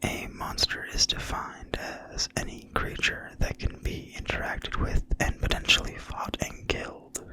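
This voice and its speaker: An ASMR host reading a game manual aloud in a quiet, breathy, unvoiced whisper with deliberate phrasing. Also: rough recording. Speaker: ASMR video host and reader.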